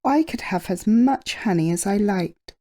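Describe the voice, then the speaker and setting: Woman as a sheepish, slow-talking storybook bear. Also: mid-range voice, expressive, warm tone. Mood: wistful. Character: big male bear, honey-mad, sheepish bluffer. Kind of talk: children's storybook character voice.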